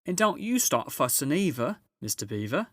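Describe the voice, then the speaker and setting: A man's voice: fairly high pitch, sing-song, no echo, studio quality, voice pushed up. A man voicing a bustling, unflappable, motherly female beaver in a storybook, with folksy, fussy talk. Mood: reassuring, bossy. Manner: folksy, coolly unhurried.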